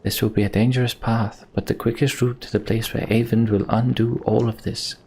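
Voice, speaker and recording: A man voicing an earnest, devoted sorceress in a fantasy video game, measured and sincere. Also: mid-range voice, flat delivery, mellow, slight background noise, close to an everyday voice. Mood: solemn. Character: earnest, devoted female mender sorceress, solemn.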